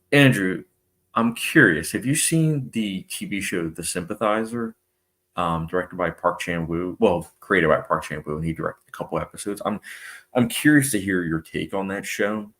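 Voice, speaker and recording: A man's voice: sits low, a little slow, a bit bright. A young man hosting a casual live stream, reading out viewer comments and chatting loosely with plenty of fillers. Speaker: movie channel host.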